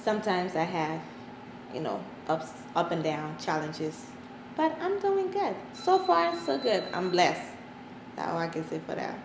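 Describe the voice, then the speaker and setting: A woman's voice: on the low side, expressive, unhurried, vocal fry. Female interview guest, Liberian accent, plain-spoken and emphatic delivery, recorded in a reverberant room with slight background noise. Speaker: interview guest, parent advocate. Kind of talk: personal interview talk. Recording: roomy echo, faint background noise.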